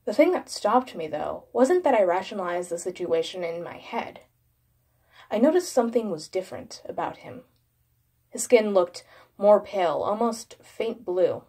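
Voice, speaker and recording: A woman with a mid-pitched voice narrates a scary story. She reads from a script in a dramatic storytelling style. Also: animated, normal speed, warm tone, slightly rough.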